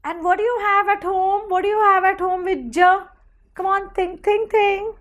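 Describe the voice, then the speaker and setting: A woman teaching young children a phonics lesson in an animated, encouraging, sing-song way, with many repetitions and questions. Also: extremely high pitch, moderate pace.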